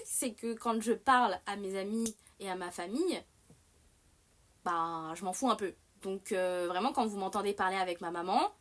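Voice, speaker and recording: A young adult woman hosting a livestream in casual chat. She rambles and restarts a lot, with plenty of fillers and a self-mocking, animated way of talking. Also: on the high side. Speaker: livestream host.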